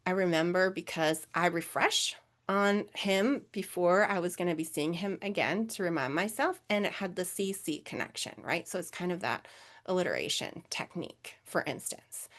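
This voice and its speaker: A woman with a Minnesotan accent presenting a webinar over a video call, answering questions in a chatty way with many fillers. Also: on the low side. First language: English.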